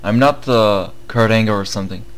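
A young man with a Bulgarian accent co-hosting a casual gaming news commentary, chatty and halting with many fillers. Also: lowish voice, slight background noise. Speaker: gaming channel co-host.

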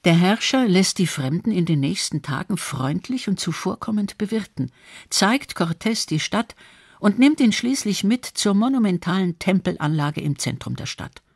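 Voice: medium pitch, expressive, moderate pace, creaky, husky, no echo, clean studio sound. A narrator reading a scripted historical documentary.

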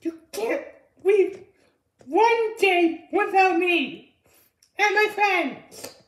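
Excitable teen schoolgirl played by a woman, bratty and bubbly, very high voice, roomy amateur roleplay sound. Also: very slow, full character voice. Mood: mocking. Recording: roomy, echoing home recording.

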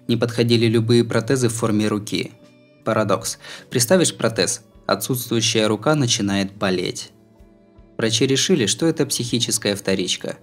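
A man reads a first-person novel in a mid-range voice. His delivery is scripted yet conversational, with a wry edge. Music plays behind him. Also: medium pitch. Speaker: audiobook narrator.

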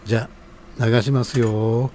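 A man's voice: mid-range voice, little pitch movement, unhurried, a little mellow, a little hiss or hum, natural voice. A man playing an easygoing, obliging ramen stall keeper in a scripted radio drama. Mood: genial.

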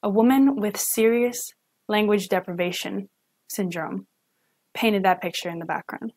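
A young woman, a student interpreter, voicing a signed lecture live at home into a webcam, pausing and correcting herself as she goes. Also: medium pitch, fairly even intonation, darkish tone. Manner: halting, with self-corrections and restarts. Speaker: student sign language interpreter.

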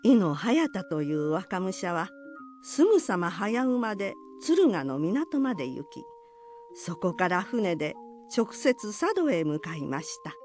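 A woman narrates a folk legend in formal, polite language. The voice sits at a middle pitch with animated rises and falls. The pace is slow and measured, with music underneath. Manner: formal, polite, expressive storytelling.

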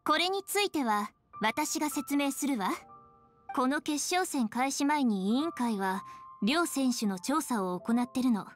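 High, serious young official. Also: female voice, expressive, a little slow, soft background music. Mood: composed explanation. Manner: matter-of-fact, explanatory. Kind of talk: Japanese anime game voice acting.

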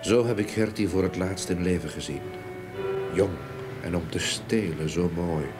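An actor playing a grieving, haunted older gentleman in a Dutch radio play, speaking in a halting, brooding way. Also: deep voice, music in the background, close to an everyday voice. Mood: haunted, distraught. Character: grieving, haunted older gentleman seeking revenge for murdered niece. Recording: old radio play recording, background noise.

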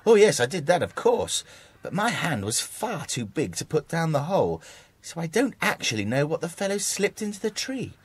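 Casting: shifty British gent for children's audio play, over-polite, exclaiming, hugely animated pitch, slightly husky. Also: male voice, moderate pace, no echo. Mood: confiding. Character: shifty man posing as a friendly bird lover. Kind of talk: children's audio dramatisation acting.

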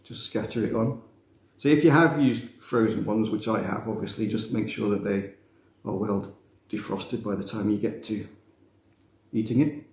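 A man, teaching a relaxed cooking demonstration in a chatty, self-mocking way with playful asides. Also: lowish voice, moderate pace. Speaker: cooking instructor. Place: glass-walled kitchen room facing a garden. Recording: roomy echo, faint background noise.

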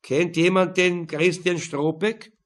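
A man's voice: high voice, fairly even intonation, slow pace, pitched above the performer's own voice. A man voicing a persistent, dry police detective in an audiobook, terse and probing.

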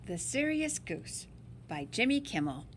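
A woman's voice: on the high side, very animated, a little slow, a little hiss or hum. A woman reading a picture book aloud to children in a dramatic, emphatic and playful way. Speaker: story-time reader.

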